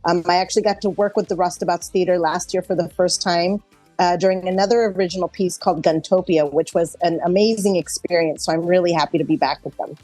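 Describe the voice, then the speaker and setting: A woman's voice: lowish voice, fairly even intonation, quick pace, darkish tone, faint music behind, rough recording. A middle-aged woman actor chatting casually in an interview, speaking in a reflective, conversational way.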